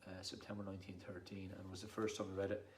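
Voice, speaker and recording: A man's voice: sits low, little pitch movement, racing. An Irish man from Dublin with a Dublin accent, chatting casually and self-deprecatingly as a poet introducing himself. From Dublin, Ireland. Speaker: poet and performer. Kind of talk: casual rambling introduction to camera. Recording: roomy echo with clear background noise.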